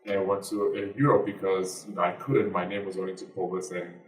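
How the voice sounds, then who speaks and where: A young man speaks with a Turkish accent in a low voice, earnest and halting, with plenty of fillers. He sounds a little far from the mic in a roomy space. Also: flat delivery. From Turkey. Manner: earnest, halting, many fillers and broken grammar. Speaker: guest, basketball player and activist. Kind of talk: interview answers.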